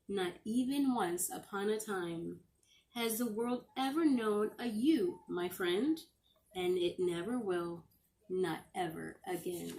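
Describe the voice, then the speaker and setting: A middle-aged woman reading a picture book aloud to young children as a teacher, expressively and with deliberate, drawn-out phrasing. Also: medium pitch, a little mellow, rough recording.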